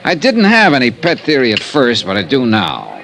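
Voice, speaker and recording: An actor playing a dogged insurance investigator in an old-time radio drama, American, assertive and wry. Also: male voice, mid-range voice, huge pitch swings, normal speed, fairly bright tone. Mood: insistent. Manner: assertive, wry, hard-boiled. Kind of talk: old-time radio detective acting and first-person narration.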